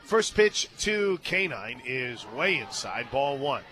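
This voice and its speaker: A man calling softball live on radio as play-by-play announcer, mixing clipped pitch calls with conversational asides. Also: sing-song, takes it slowly. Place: radio booth at an outdoor softball stadium. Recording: live stadium booth, clear crowd noise. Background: stadium crowd.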